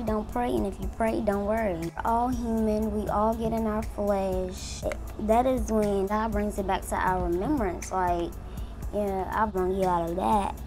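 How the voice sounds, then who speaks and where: Earnest chatty woman in her twenties. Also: mid-range voice. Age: 20s. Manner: chatty, earnest, addresses viewers as y'all. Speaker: beauty vlogger. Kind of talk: casual testimony while doing makeup.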